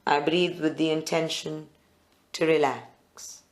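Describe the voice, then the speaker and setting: A meditation guide leads a live group session in short, deliberate phrases with pauses between them. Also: mid-range voice, level tone.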